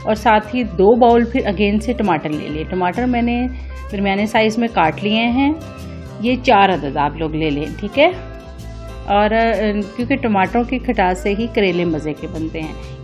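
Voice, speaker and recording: High-pitched woman narrating a home recipe. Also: a little mellow. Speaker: home cooking vlog host. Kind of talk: casual recipe narration, step by step.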